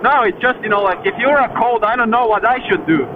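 A man's voice: high-pitched, fast talker. A man with an Eastern European accent, chatting and joking casually with a friend as a sweary motorcycle vlogger. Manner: swearing freely, jokey. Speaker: motorcycle rider hosting a vlog. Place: outdoors riding a motorcycle. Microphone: helmet headset. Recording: tinny, phone-like helmet intercom with road noise.

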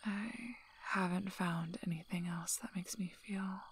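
A woman playing a guarded, gruff but caring young werewolf alpha in a soft-spoken ASMR roleplay, hesitant and often close to a whisper. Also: on the low side, level tone, slight background noise. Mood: quiet, troubled. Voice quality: husky, breathy, often near whisper. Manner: hesitant, halting, understated.

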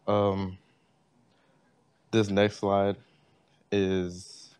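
A young man with a deep, flat, unhurried voice gives a tribute speech in a library classroom. His tone is smooth and clear, and he keeps stopping on fillers as he works through his slides. The sound is dry and close. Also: no echo. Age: young adult. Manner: halting, with many fillers. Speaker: student giving a tribute speech.

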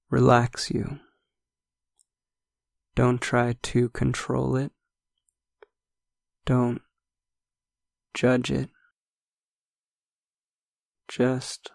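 A man leading a guided meditation, speaking slowly and softly with long pauses between phrases. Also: medium pitch, creaky, no echo. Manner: slow, soft-spoken, with long pauses.

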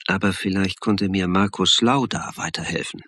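An actor playing a calm, wry police lecturer and investigator in a radio crime drama, with a husky voice. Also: male voice, low voice, normal speed, no echo. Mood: wry, reflective. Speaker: actor, narrator and lead. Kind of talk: radio crime drama acting and first-person narration.

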